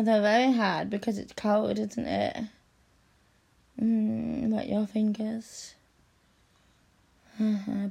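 A woman in intimate audio roleplay, speaking softly and coaxingly and often dropping into a whisper. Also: medium pitch, creaky, breathy edge. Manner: soft, slow and coaxing, often drops to a whisper.

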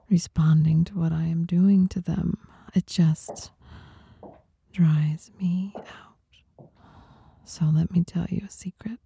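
A woman performing an intimate ASMR monologue in a breathy whisper, seductive, teasing and softly dominant, close to the microphone. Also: fairly low pitch, no echo. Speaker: performer of adult audio recordings.

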